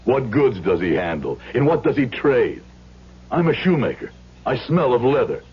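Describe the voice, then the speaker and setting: An actor playing a grieving, vengeful middle-aged shoemaker with formal, deliberate speech, in a 1970s radio drama style. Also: male voice, mid-range voice, big pitch swings, close to an everyday voice. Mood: bitter accusing. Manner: formal, deliberate, rhetorical questions. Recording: muffled, noisy old radio broadcast.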